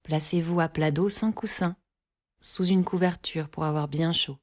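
A woman guiding a yoga nidra relaxation, giving calm, measured instructions in short phrases with long pauses between them. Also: low-pitched. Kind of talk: guided relaxation meditation, scripted instructions.

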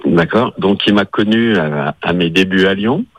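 A man, a chef calling in to a radio show, telling a relaxed anecdote about an old colleague. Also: low-pitched, moderate pace. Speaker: chef caller. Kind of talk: casual chat on the phone.

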